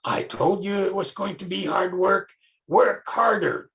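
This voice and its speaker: A man voicing a harsh, stern older brother who speaks slowly and commandingly. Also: high voice, creaky, fairly bright tone, pitched above the performer's own voice, heavily acted. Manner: slow, drawn-out, commanding.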